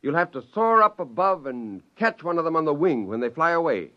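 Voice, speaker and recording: An actor playing an excitable scientist father who narrates and acts in an old radio drama. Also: a little high, a little fast, very noisy. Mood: excited, coaxing. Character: excitable, gleeful scientist father narrating his secret creation. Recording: dull lo-fi old radio, music underneath.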